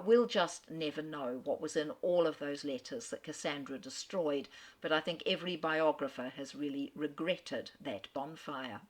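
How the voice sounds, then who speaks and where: A woman with a fairly low voice gives a literary talk, unhurried and articulate. She tells history like a story and drops in the occasional small filler. She is from Australia. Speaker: speaker on famous authors. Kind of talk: lecture-style literary talk.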